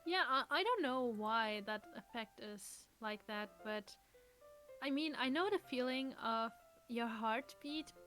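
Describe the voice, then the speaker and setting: Higher-voiced woman chatting on a let's play. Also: expressive, a little slow, bright, forceful tone, faint music behind. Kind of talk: casual chat.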